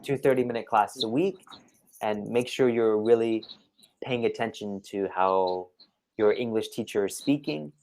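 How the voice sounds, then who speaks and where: A man's voice: lowish voice, normal speed, a little mellow. A man giving conversational one-to-one tutoring advice as an English tutor, explaining things step by step in an encouraging way. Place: online video call.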